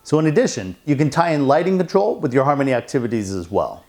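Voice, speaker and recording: A middle-aged man with an American accent presents a product demonstration. His voice sits at an average pitch for a man. The delivery is semi-scripted narration, recorded indoors in a home. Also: sing-song, fast, mellow. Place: indoors in a house.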